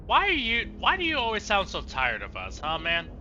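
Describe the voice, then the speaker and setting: A man's voice: sits high, huge pitch swings, moderate pace, pushed and bright, a little hiss or hum. A man streaming a game with casual let's play commentary, through a dull, lo-fi microphone.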